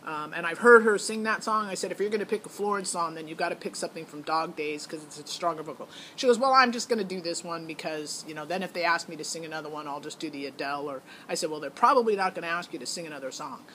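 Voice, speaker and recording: A woman's voice: low-pitched, quick pace, hardly any pauses, a bit bright. A middle-aged woman telling a personal story in a casual, candid, opinionated way. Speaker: parent recounting a child's audition. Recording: noticeable background noise.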